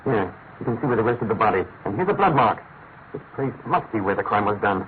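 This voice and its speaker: Brisk, theatrical English detective. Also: male voice, on the low side, very animated, a little hiss or hum. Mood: absorbed, intent. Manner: theatrical, precise, commanding. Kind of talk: classic British radio drama acting. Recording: tinny band-limited old radio recording, music behind.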